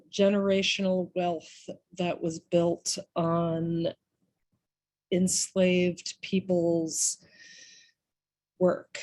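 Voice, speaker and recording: A woman giving public comment at a town meeting, earnest and halting, with many pauses and restarts. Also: on the low side, darkish tone, dry recording.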